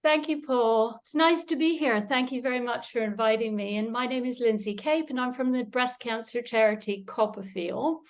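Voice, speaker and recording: A woman introducing herself on an online charity panel, measured and reflective. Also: on the high side.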